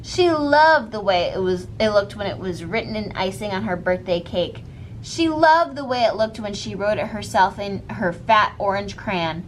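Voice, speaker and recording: A woman giving an expressive read-aloud narration of a children's storybook. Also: medium pitch, normal speed, slight background noise, rough recording. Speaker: storybook reader.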